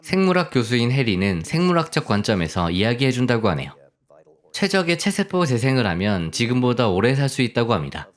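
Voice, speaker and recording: Male storyteller with a lively, moving pitch. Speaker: audiobook narrator of film summaries. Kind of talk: scripted storytelling narration in soft polite sentences.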